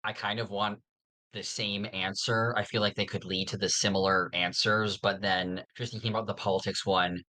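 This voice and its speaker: Bright-voiced, lower-pitched man co-hosting. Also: level tone, normal speed. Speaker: podcast co-host. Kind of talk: casual discussion.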